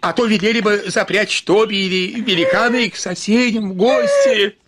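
A man's voice: high voice, very animated, normal speed, noisy background. An actor playing an elderly manservant in a radio play, folksy and fussy as he coaxes and scolds his mistress. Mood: fussy pleading. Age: older. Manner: folksy, coaxing, grumbling. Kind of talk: Russian radio play acting. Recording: studio radio play with background music.

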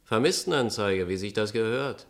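An actor in a German radio play playing a gruff, matter-of-fact policeman, colloquial and dismissive. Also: lowish voice, lively intonation, normal speed.

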